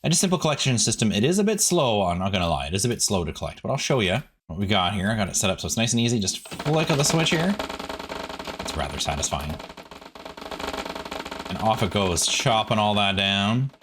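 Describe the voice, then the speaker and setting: A man's voice: fairly low pitch, sing-song, brisk, noisy background. A man giving casual, chatty gameplay commentary, rambling in asides to viewers as a video host.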